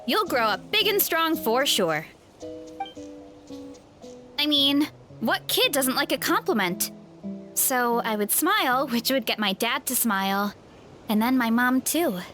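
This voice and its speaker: A woman's voice: high-pitched, animated, measured pace, pauses often, heavily acted. A cheerful hungry young woman. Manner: bright and friendly, laughs easily. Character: cheerful young woman, big appetite, warm and upbeat. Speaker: game character showing guests an orchard. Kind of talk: video game voice acting. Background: soft game music.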